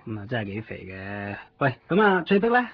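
A man's voice: medium pitch, wildly swooping pitch, a little fast. A man acting a sociable, casual office worker in a conversational radio drama. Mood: casual concern. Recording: dull 1990s radio recording, crowd behind.

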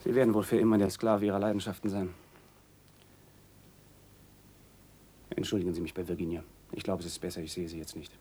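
An actor in a German radio play, playing a haughty, pious young aristocrat with a husky voice and a formal, lecturing manner. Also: male voice, deep voice, flat delivery, rapid-fire, warm tone, slight background noise, natural voice. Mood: cold, offended. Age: young adult.

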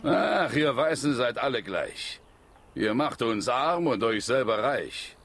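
A man's voice: medium pitch, big pitch swings, takes it slowly, fairly bright tone, full character voice. A man playing an angry, distrustful king in a children's radio play, commanding and indignant. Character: angry, imperious African king, distrustful of strangers.

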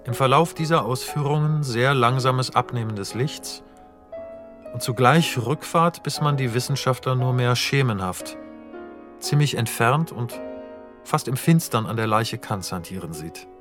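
Calm male radio play narrator. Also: mid-range voice, unhurried, music in the background. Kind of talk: scripted narration of stage directions.

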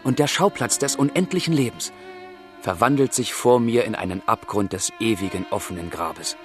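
A man's voice: on the low side, expressive, normal speed, faint music behind, natural voice. An actor playing a passionate, brooding young man of the 1770s in a literary radio drama, with fervent, declamatory delivery. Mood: anguished, brooding. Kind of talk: literary radio drama, dialogue and letter monologue.

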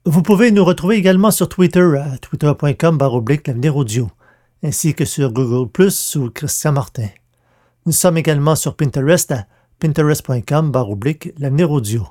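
A man's voice: on the high side, a little fast, dark tone. A man hosting a podcast, reading scripted announcements with careful enunciation. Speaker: podcast host and audio drama producer.

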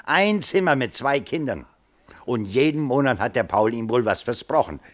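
An actor playing a chatty, folksy pub landlord in a radio play, knowing, teasing and colloquial. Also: male voice, mid-range voice, expressive, moderate pace, lightly acted. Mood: gossipy. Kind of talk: 1970s German radio play acting.

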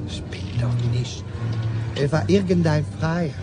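A woman's voice: low-pitched, takes it slowly, over loud music. A woman acting a streetwise, brash prostitute who teases her colleagues in a German radio play. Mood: chatty. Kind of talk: naturalistic German radio drama dialogue.

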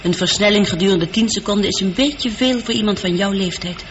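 A woman's voice: medium pitch, a little fast, lightly acted. An actress playing a witty, caring female ship's computer in a radio play, precise and composed in delivery. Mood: calm, gently teasing. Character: female spaceship computer, calm, witty, caring, easily hurt. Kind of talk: scripted radio play acting. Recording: dull old radio recording, faint noise.

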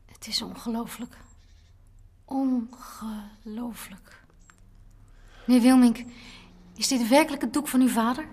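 An actress playing a polite, inquisitive companion in a radio drama. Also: female voice, on the high side, measured pace, pauses often, a little mellow, husky. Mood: amazed. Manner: polite, questioning. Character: polite, inquisitive female companion of old man.

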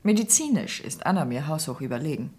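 An actress playing a composed, cool surgeon's widow in a German radio crime drama, speaking in a controlled, curt manner. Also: female voice, low-pitched, sing-song, quick pace, mellow, breathy edge, exaggerated voice.

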